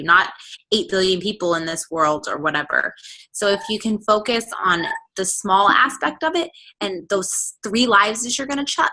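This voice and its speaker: A woman speaks earnestly and encouragingly on a casual group call. Her voice is bright and forceful. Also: mid-range voice, poor audio.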